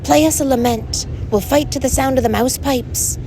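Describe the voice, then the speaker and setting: Woman as small male pixie warrior chief, growly, gruff, ready to fight, storybook character, noisy recording. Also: sits high, normal speed, pitched above the performer's own voice. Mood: resolute. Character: gruff, battle-ready tiny male pixie clan leader.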